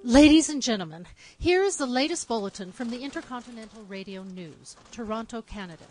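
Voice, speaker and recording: A woman playing a formal, urgent 1930s radio news announcer with a measured, declarative delivery. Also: on the high side, lively intonation, darkish tone, soft background music, lightly acted. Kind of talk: 1930s radio drama newsreading.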